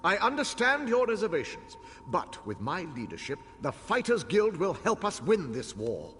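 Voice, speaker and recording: A man playing an ancient elf war leader in a video game, imperious and proud, speaking in a formal, commanding, deliberate way. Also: high-pitched, huge pitch swings, fairly bright tone, slight background noise, full character voice. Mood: resolute. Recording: long hall reverb, music behind.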